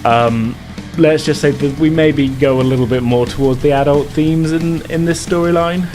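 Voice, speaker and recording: A man's voice: a little high, normal speed, a little mellow, soft background music. A British man chatting casually as a podcast host. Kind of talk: casual chat.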